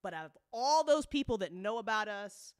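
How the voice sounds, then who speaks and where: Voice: mid-range voice, expressive, normal speed, smooth, no room sound. Candid podcast creator, dry close sound. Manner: frank, casual, swears freely. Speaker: audio drama creator and network host. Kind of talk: candid informal business update to listeners.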